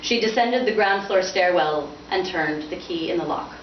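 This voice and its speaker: Woman author at a book reading; lower-pitched voice, picked up from a distance with noise. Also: moderate pace, dull audio. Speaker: author reading from own novel. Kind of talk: informal book talk and dramatic prose reading. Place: bookstore reading event. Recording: roomy, distant, noisy bandlimited event recording.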